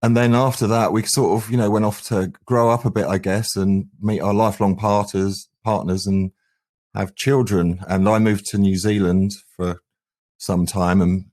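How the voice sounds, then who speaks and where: A man's voice: fairly low pitch, little pitch movement, moderate pace, a little mellow. An English man in his forties, a musician guest reminiscing casually in a hesitant, rambling way. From England. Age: 40s. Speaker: band frontman, interview guest.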